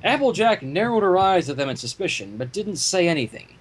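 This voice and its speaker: A man reading a story aloud dramatically, with expressive reactions and asides. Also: high voice, a little fast, slightly rough, poor audio. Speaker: fan fiction reader.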